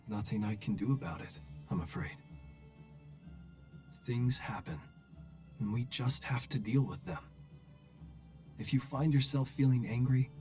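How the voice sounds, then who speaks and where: An actor voicing an unflappable, wry and courteous adventurer in a video game, with understated, formal phrasing. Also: male voice, medium pitch, darkish tone, natural voice. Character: unflappable, wry, courteous male lighthouse-dwelling adventurer with a curse.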